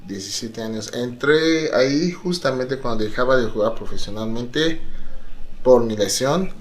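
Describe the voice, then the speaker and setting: A man's voice: mid-range voice, unhurried. A basketball coach talking reflectively about his career in a documentary interview.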